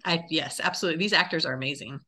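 A woman speaks as an interview guest with a bright, forward voice pitched a little low. She explains her work as a director in a conversational way. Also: racing.

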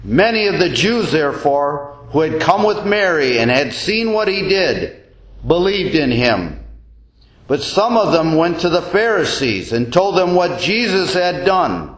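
Slow, expressive man reading scripture. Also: fairly high pitch. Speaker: deacon reading the Gospel. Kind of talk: solemn scripture reading in church. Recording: roomy, echoing church-like sound.

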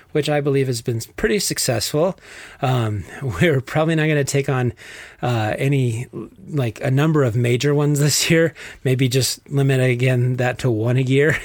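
A man's voice: mid-range voice, no room sound. A man with a Texas accent co-hosting a faith podcast, chatting in an easygoing, rambling way. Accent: Texan. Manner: easygoing, rambling, laughs easily. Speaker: podcast co-host. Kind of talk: casual podcast chat.

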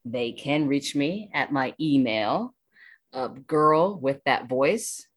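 A woman's voice: extremely low pitch, expressive, slow pace, slightly rough. An American woman chatting casually as an interview guest, enthusiastic and rambling, often repeating her phrases. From Washington DC area, United States.

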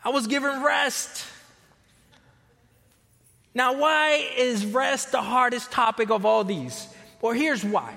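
A man's voice: very high voice, expressive, slow pace, gravelly, long pauses, bright, forceful tone, in a large hall. A man from Costa Rica preaching a sermon, telling stories and often repeating words as he starts a sentence. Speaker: pastor.

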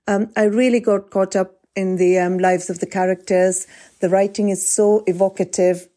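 Mellow-voiced woman recommending a book. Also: mid-range voice, fairly even intonation, normal speed. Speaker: podcast guest, oncologist reviewing books. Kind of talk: spoken personal book recommendation.

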